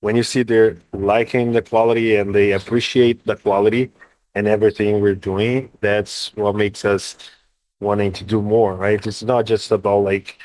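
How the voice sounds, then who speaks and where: Low, flat-voiced man, plainly spoken. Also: moderate pace, no room sound, poor audio. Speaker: coffee buyer for farmers. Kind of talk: casual interview answers.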